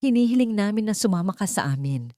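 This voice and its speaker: Lady reading as a serious cop in an audio drama, calm but firm, mellow tone. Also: medium pitch, quick pace, dry recording, studio quality, voice pushed up, close to an everyday voice. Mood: calm, determined. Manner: calm, determined, official. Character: male police officer, calm, firm, serious.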